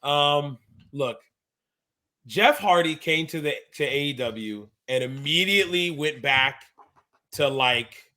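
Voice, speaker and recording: A man's voice: fairly high pitch, lively intonation, slow pace, sharp tone. A man in his thirties co-hosting a wrestling podcast, chatting casually in slangy insider talk. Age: 30s. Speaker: co-host of a pro wrestling podcast; active pro wrestler. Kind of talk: casual podcast chat and commentary.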